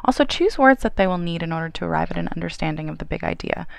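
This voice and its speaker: A woman reading scripted instructional narration in a clear, explanatory way. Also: lowish voice, animated, rapid-fire. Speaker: narrator of a training video.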